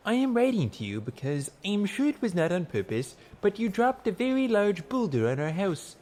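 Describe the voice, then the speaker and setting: A man's voice: high-pitched, dark tone, pitched above the performer's own voice. A man voicing an unflappable, officious male secretary to a god in a comic fantasy audiobook, formal and precise.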